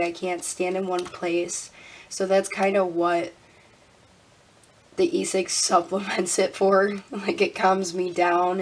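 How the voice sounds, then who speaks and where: A young woman vlogging casually at her desk. She sounds congested and a little raspy, laughs often and counts money out loud. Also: lowish voice, slight background noise, low-quality recording. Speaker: budgeting vlogger. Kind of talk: casual talk while counting cash. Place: indoors at a desk.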